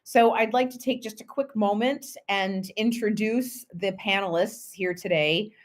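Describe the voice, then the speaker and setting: A middle-aged woman hosts a panel discussion in a relaxed, conversational way. She fills the gaps with ums and uhs and keeps things loose and friendly. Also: medium pitch, a little slow. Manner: casual, filler-heavy, friendly asides. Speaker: moderator. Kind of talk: hosting a virtual panel.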